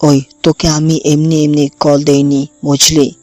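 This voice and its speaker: A man's voice: fairly high pitch, completely flat delivery, unhurried, darkish tone, dry recording, faint music behind, lightly acted. A man playing a possessive, threatening young campus bully in an audio drama, speaking with commanding contempt. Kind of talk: audio story acting.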